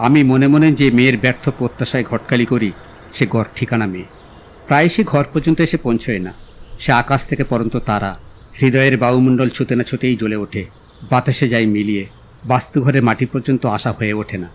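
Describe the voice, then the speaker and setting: An actor playing a witty, dreamy young gentleman in a literary audio drama, speaking in a poetic, eloquent, theatrical way. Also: mid-range voice, quick pace, a little hiss or hum, natural voice. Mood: musing, witty.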